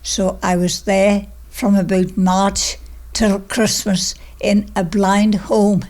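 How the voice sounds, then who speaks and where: A woman's voice: mid-range voice, level tone, takes it slowly, darkish tone. A woman in her nineties with a Manx accent, casually reminiscing in a plain, emphatic way and repeating herself. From Isle of Man. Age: 90s. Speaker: interviewee recalling childhood.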